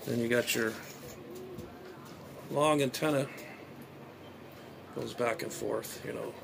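A man's voice: medium pitch, fairly even intonation, takes it slowly, vocal fry. Man muttering casually over background noise. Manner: hesitant, muttering, thinking aloud. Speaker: amateur radio hobbyist vlogger. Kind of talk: casual unscripted gadget unboxing commentary. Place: small bedroom, indoors. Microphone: phone at arm's length. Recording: noisy phone recording with room sound.

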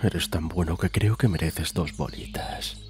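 Man voicing a slow, deep, creepy cartoon boss. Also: music in the background. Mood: creepy coaxing. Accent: Spain. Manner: slow, insinuating, unctuous. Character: creepy, predatory gumball-machine boss, smarmy adult male. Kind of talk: adult parody cartoon fandub.

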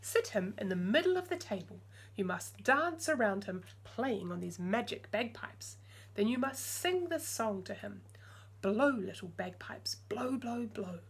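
A woman's voice: mid-range voice, big pitch swings, measured pace, breathy edge, heavily acted. Woman playing an eccentric, stern magical lady doctor. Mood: instructing. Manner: instructing, sing-song.

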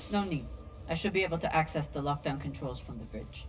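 An actress playing a cool, capable, dry-witted spaceship officer in a sci-fi video game. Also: female voice, low voice, lively intonation, a little fast, far from the mic, highs cut off, faint music behind. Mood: focused.

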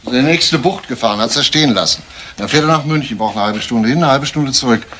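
An actor playing a persistent, composed homicide detective in a German crime radio play, matter-of-fact and clipped. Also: male voice, medium pitch, fast, sharp tone. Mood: speculating, determined.

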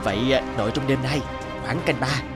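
A man acting male characters in an animated Vietnamese folk tale. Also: medium pitch, fairly quick, lightly acted. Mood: scheming. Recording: loud background music.

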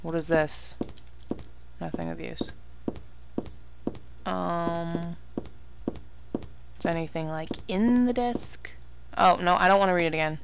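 A woman's voice: lowish voice, steady tone, slow pace, vocal fry, pauses often, noisy background. A woman giving casual horror game commentary, exclaiming, swearing and muttering asides to herself. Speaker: let's play gamer.

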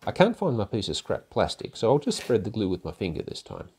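An older man narrating a woodworking project in a calm, methodical, step-by-step way, as host of his own workshop channel. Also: lowish voice, fairly quick, husky. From Australia. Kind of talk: instructional voice-over narration. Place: home garage workshop.

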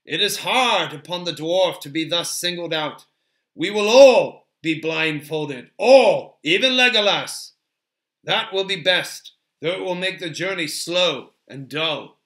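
A man voicing a commanding, fair-minded male leader of a company in a storybook, loud and decisive. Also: sits high, slow pace, voice pushed up, exaggerated voice. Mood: firm command. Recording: roomy, echoing sound.

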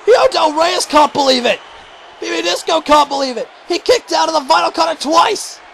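A man calling a video game wrestling match play-by-play, shouting excitedly and going hoarse. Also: normal speed, a little hiss or hum. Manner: shouting, high-pitched and strained, losing his voice. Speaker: commentator.

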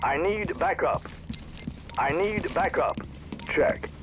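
An actor playing a brisk, curt soldier in a video game, calling out clipped military commands. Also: male voice, fairly high pitch, animated. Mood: urgent. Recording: game audio under loud battle noise.

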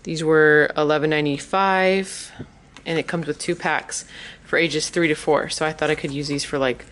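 A woman's voice: sits low, fairly even intonation, normal speed, a bit bright, a little hiss or hum. A woman chatting casually and enthusiastically in an informal shopping haul vlog. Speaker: vlogger, parent.

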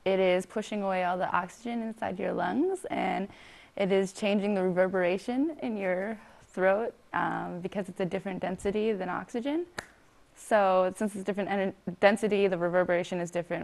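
Young woman with a creaky voice. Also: medium pitch, steady tone, a little fast, a little mellow. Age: 20s. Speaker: street interviewee. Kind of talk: casual interview answer. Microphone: clip-on lapel mic.

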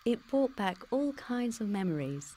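A woman's voice: on the high side, big pitch swings, a little slow, dark tone. A woman with a British accent voicing a computer-generated future self, cheeky, teasing and always dodging the question. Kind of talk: pre-recorded game dialogue.

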